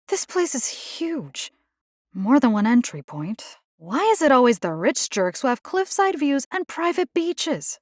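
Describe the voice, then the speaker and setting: A woman's voice: mid-range voice, dry recording, studio quality, natural voice. A woman voicing a plucky, caring video game combat engineer, casual and wry. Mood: focused, indignant. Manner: casual, wry, expressive, slipping into Spanish slang. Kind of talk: video game character voice lines.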